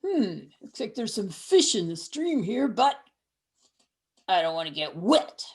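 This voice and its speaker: A woman's voice: mid-range voice, very animated, unhurried. A woman voicing a grumpy, hungry male troll who lives under a bridge, with a folksy, chuckling drawl. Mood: grumbling. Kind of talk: storytelling with voices.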